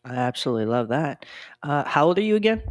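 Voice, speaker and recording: A man's voice: a little high, big pitch swings, fast. A man of twenty-five, hosting a podcast in an upbeat, chatty way with many "um" fillers. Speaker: podcast host. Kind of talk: casual chat. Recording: very echoey room, far from the mic.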